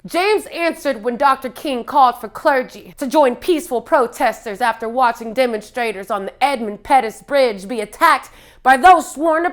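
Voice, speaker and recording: A woman performing a theatrical spoken-word monologue in a solemn, impassioned manner, with dramatic pauses. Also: high-pitched, a bit bright.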